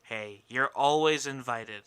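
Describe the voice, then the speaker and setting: A man's voice: mid-range voice, takes it slowly, fairly bright tone, smooth. A man hosting a podcast with casual, opinionated talk, given to swearing and mock indignation. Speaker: podcast host.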